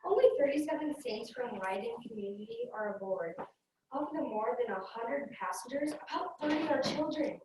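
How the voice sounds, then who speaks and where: Woman narrator for a readers theater script, higher register, recorded far from the mic in heavy echo. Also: animated, normal speed. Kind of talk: scripted read-aloud narration with acted dialogue. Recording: echoey room, distant mic, faint noise.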